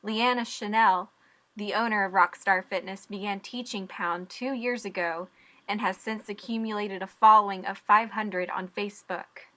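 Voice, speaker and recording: A young woman reporting the news, with stand-ups on location and voice-over narration in a clear, measured delivery. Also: medium pitch, fairly even intonation, sharp tone.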